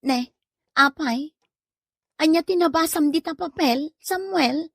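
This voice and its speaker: A woman's voice: high-pitched, slow, dry recording, studio quality. A woman playing a bossy, curious, teasing village wife in Ilocano comedy drama, with an Ilocano accent.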